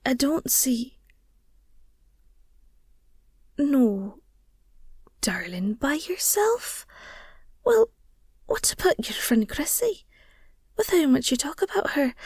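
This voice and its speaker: A woman's voice: high voice, dry recording, studio quality, heavily acted. A woman playing an affectionate, playful and protective werewolf girlfriend in an intimate audio roleplay, tender and teasing, often murmuring or whispering. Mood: concerned, gentle.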